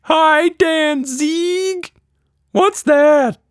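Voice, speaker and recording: A man's voice: very high-pitched, very slow, a bit bright, no echo, studio quality, pitched above the performer's own voice, full character voice. A man voicing a small, silly pink magical monster, childlike, naive and playfully earnest, for a children's story. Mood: earnest, curious.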